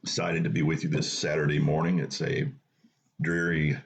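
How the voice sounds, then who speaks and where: A man hosting a Bible study, reading scripture aloud in an unhurried, plain way, with a low morning voice. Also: level tone, vocal fry, slightly rough. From North Carolina.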